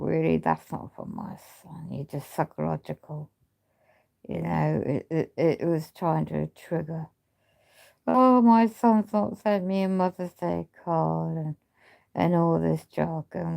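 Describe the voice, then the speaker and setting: A woman chatting casually on a livestream, talking in a halting, rambling way while she loses her voice. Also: low-pitched, warm tone. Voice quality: gravelly. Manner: rambling, halting speech with many restarts. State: losing her voice. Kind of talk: casual livestream chat with viewers.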